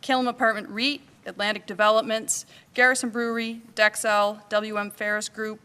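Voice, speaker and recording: A woman's voice: medium pitch, flat delivery, pushed and bright, clean tone, long reverb tail. A woman hosting a conference, reading sponsor thanks and a formal welcome at a podium microphone. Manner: brisk, formal thank-you list, then welcoming speech. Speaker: event host. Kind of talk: conference opening remarks. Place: large conference hall. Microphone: podium microphone, at times off.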